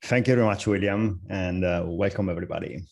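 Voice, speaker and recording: A middle-aged man with an Italian accent talks through a live software webinar. His voice sits a little low. He often stops to restart a phrase or fill a pause with an "uh". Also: vocal fry. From Italy. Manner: frequent fillers and repeated restarts. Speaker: software architect, webinar speaker.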